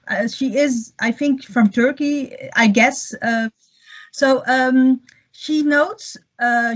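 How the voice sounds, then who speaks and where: A woman moderating an online question session, hesitant and full of fillers. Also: high-pitched. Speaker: workshop moderator. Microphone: video call. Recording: dull lo-fi video call audio.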